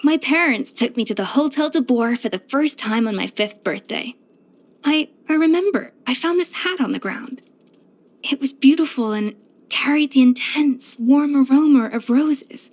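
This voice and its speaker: An actress voicing a proud, exacting young pastry chef in a video game, poised, self-assured and precise in manner. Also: female voice, high voice, animated, normal speed. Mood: fond, nostalgic. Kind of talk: scripted video game character voice lines.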